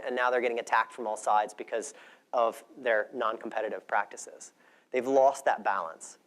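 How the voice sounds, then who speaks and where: Conversational man giving a conference talk. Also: medium pitch. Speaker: conference speaker.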